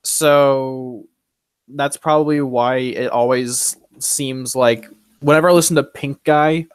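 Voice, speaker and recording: A young man chatting casually, voicing firm opinions in quick bursts with frequent restarts. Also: medium pitch, steady tone, smooth, no echo. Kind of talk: casual chat.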